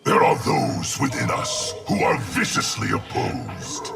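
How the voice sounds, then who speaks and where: Gravelly, menacing hive of souls. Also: male voice, lowish voice, huge pitch swings, slow, far from the mic, over loud music, exaggerated voice. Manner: solemn, speaks as "we". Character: menacing hive of many souls speaking as one being. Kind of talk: video game fighter dialogue.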